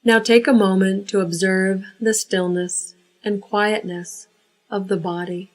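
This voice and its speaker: A woman leading a guided yoga nidra relaxation, giving unhurried, measured instructions. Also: mid-range voice, little pitch movement.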